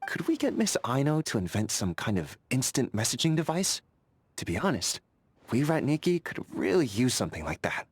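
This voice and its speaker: An actor playing an earnest, vigilant young sentry in a fantasy video game, friendly but overcautious, speaking in a methodical, conversational way. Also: male voice, medium pitch, huge pitch swings, moderate pace, breathy edge, full character voice. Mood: friendly, wry. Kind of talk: video game character dialogue.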